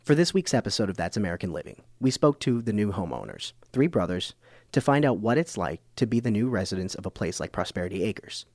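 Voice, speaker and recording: A man plays a puzzled, earnest documentary host in a radio comedy. He has a fairly low, very expressive voice in a dry recording, scripted and conversational. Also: fast talker, dry recording. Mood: measured, wry. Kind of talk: public radio scripted narration and interviewing.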